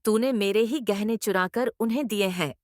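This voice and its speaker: A woman's voice: medium pitch, moderate pace, no room sound, studio quality, lightly acted. A woman voicing a greedy, domineering middle-aged mother-in-law in a cartoon moral story, with an emphatic, theatrical delivery. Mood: accusing, scolding.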